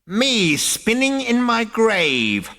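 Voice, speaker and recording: A man voicing a tiny, ancient two-headed male ghost who snaps and scolds in a rasping, screechy voice. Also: a little high, sing-song, unhurried, a bit bright, big-room sound, pitched above the performer's own voice. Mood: scolding. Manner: snappish, disdainful scolding.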